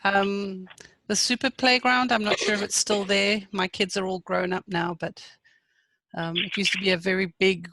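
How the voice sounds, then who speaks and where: A woman's voice: medium pitch, little pitch movement, normal speed, bright, forceful tone, dry recording, rough recording. A middle-aged woman chatting casually as a participant in an online meeting. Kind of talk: casual reminiscing.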